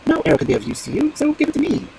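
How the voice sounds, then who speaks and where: A reader voicing a tender-hearted young fairy-tale prince, earnest and gentle. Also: high-pitched, very animated, racing, vocal fry, background noise, pitched above the performer's own voice, heavily acted. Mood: anxious.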